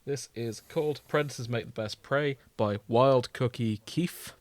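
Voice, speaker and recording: A man's voice: medium pitch, measured pace. A man hosting a podcast, chatting casually and reading bits out to his co-hosts. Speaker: podcast host. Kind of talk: casual chat, reading out fan fiction tags.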